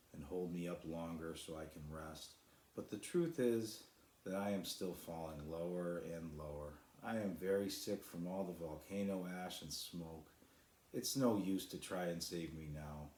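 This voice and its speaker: A man voicing a gentle, wondering female cloud from a children's storybook in an echoey room. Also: low voice.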